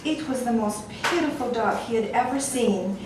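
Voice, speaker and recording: A woman's voice: fairly high pitch, moderate pace. A woman narrating a comic short story in a wry, amused way, often breaking into laughter. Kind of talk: audiobook story reading. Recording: echoey room, distant mic, noisy.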